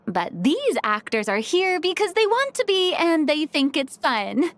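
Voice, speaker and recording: High, unhurried poised young lady. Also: sing-song, a little hiss or hum, full character voice. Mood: flustered. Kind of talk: video game voice acting.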